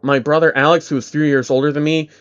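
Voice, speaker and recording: A man's voice: fairly high pitch, little pitch movement, quick pace, a bit bright. Man narrating a story, slightly dull audio. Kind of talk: scripted dramatic story narration. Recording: dull lo-fi audio under music.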